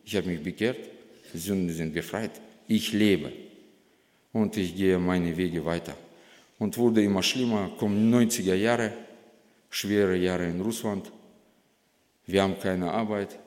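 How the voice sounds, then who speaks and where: Deep-voiced man with Russian accent, reverberant hall. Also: unhurried, a little mellow. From Kazakhstan. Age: 40s. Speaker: guest speaker giving testimony at church. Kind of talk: personal testimony to a congregation. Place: large church hall. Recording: long reverb of a large hall.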